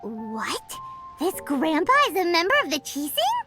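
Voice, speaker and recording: A tiny, squeaky fairy sidekick in a game. The childlike voice is extremely high and swoops wildly, eager and blunt, over loud music. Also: female voice, huge pitch swings, unhurried, exaggerated voice. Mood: curious, surprised. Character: tiny, chirpy, excitable childlike fairy companion. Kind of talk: video game voice acting.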